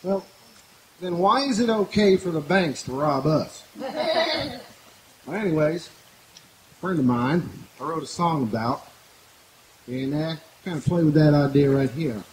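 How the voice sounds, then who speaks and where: A man playing an easygoing country folk singer, chatting folksily and haltingly on stage. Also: a little high, big pitch swings. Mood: folksy, easygoing. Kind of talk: casual stage banter before a song. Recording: echoey live outdoor stage, quiet. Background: guitar.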